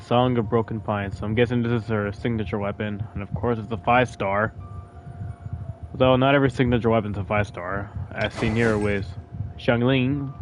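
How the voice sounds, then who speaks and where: A man's voice: lowish voice, slight background noise. A man giving casual gameplay commentary, thinking aloud informally as he plays. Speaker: gamer playing and commenting. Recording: game music behind the voice.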